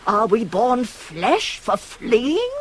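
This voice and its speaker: Shrewd, persuasive nurse, swooping and deliberate. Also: female voice, on the high side, wildly swooping pitch, husky, heavily acted. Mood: indignant. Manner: rhetorical, emphatic, insinuating, dropping to hushed tones. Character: older female nurse, shrewd, pragmatic, persuasive confidante of a queen. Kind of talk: 1980s radio play acting of Greek tragedy. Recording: hissy old cassette radio recording.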